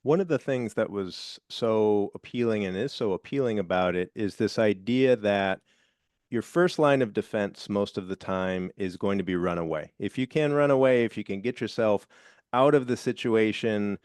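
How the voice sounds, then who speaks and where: A man's voice: fairly low pitch, moderate pace, darkish tone, smooth, no echo. A middle-aged man hosting a podcast interview in a friendly way, with the odd "uh" and "um". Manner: friendly, with fillers like "uh" and "um". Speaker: podcast host. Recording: dry close mic, faint music.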